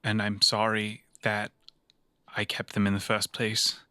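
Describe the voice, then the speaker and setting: A man playing a consoling, remorseful investigator in an improvised cyberpunk tabletop game, earnest and halting. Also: fairly low pitch, monotone, sharp tone, smooth, no room sound, studio quality. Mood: confessing, apologetic.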